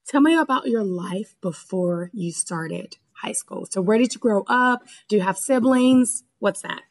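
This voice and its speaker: A woman's voice: mid-range voice, measured pace. A woman interviewing a guest, asking curious questions and chiming in with laughs.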